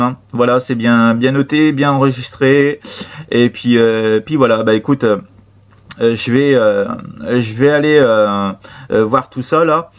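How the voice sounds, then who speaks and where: A man chatting casually as an amateur radio operator, repeating himself with friendly sign-offs. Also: mid-range voice. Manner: repetitive, filler-heavy, friendly sign-offs. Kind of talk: casual radio contact chat.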